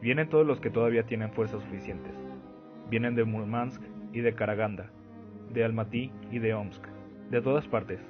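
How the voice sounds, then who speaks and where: A man acts a war veteran delivering a formal letter in a radio play. His voice is on the low side. The audio is a little dull, over faint music. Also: normal speed, natural voice. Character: male war veteran writing a formal invitation. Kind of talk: radio drama monologue. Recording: muffled lo-fi audio under music.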